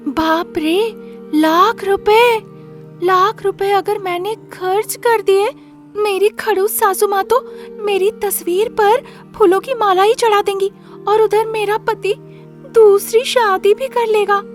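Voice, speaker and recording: A woman voicing a fame-hungry young daughter-in-law, excitable and wheedling, in a story. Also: very high voice, slow pace, soft background music, voice pushed up. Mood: whiny, alarmed. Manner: whiny, exclaiming, wheedling.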